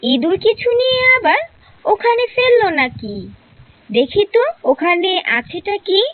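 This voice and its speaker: Very high-pitched grumbling cartoon housewife, played by a woman. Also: sing-song, measured pace, full character voice. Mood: grumbling, curious. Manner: complaining, talks to herself. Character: grumbling, chatty housewife hounded by crows. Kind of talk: cartoon story monologue. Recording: narrow-band, phone-like sound.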